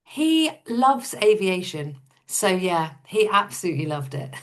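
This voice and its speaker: A woman with a slightly low voice, chatting as an interview guest. Her storytelling is casual and informal, and she puts things plainly and matter-of-factly. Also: sing-song, a little slow. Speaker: podcast guest, entrepreneur.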